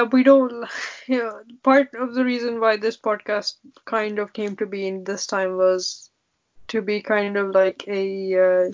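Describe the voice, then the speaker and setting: Chatty young woman hosting a podcast. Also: medium pitch. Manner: teasing, chatty. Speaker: podcast host. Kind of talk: casual sibling podcast chat. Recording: dull lo-fi podcast audio.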